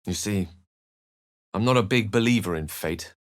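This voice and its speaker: Guy playing a learned wizard from a fantasy game, lowish dry sound, articulate, kinda theatrical and wry. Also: moderate pace, no room sound, natural voice. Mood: musing, wry. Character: learned, wry, eloquent male human wizard companion. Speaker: video game voice actor. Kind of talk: fantasy game character lines and narration.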